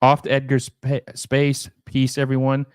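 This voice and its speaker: A man's voice: mid-range voice, completely flat delivery, unhurried, clear, resonant tone, dry recording, clean studio sound. A young man hosting a casual live stream, talking loosely with lots of fillers and restarts as he reads and answers viewer questions. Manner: rambling, many fillers and restarts. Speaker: livestream host.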